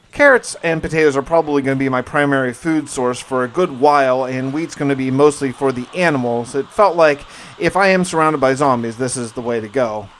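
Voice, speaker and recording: A man narrating a survival game diary in the first person, conversational and musing, with wry asides. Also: fairly high pitch. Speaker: gaming video narrator. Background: music.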